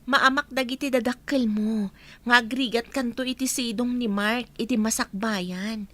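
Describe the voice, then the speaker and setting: A woman's voice: fairly high pitch, steady tone, moderate pace, fairly bright tone, natural voice. A woman acting a concerned, frank young best friend in a radio drama, with an Ilocano Filipino accent. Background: faint music.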